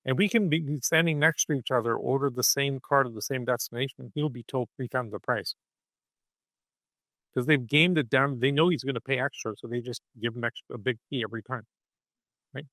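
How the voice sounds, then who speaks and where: Animated man explaining ideas, dry sound. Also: medium pitch, a little fast, no room sound, rough recording. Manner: punctuates points with "okay?" and "right?". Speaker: podcast guest.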